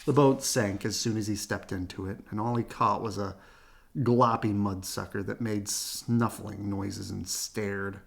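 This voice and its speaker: A man reading a children's picture book aloud in an animated storytelling style. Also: fairly low pitch, a little slow, creaky. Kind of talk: animated read-aloud narration.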